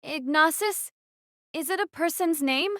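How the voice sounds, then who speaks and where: A woman's voice: high-pitched, unhurried, a bit bright. A woman playing an earnest, bewildered young student fighter in an audio drama, hesitant and questioning.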